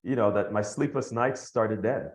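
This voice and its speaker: A man from Nigeria and the Bahamas, a podcast guest telling his story in an animated way, laughing as he goes. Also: on the low side, normal speed, echoey room. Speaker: guest, company founder. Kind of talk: podcast interview.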